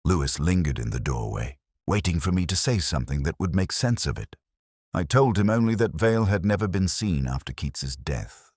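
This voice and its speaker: An extremely deep male voice of an actor tells a detective story in the first person as a brooding police inspector. The delivery is solemn and literary, with measured phrasing and a widely moving melody. The sound is dry, in the manner of a reflective audio drama. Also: darkish tone, husky, no echo, heavily acted.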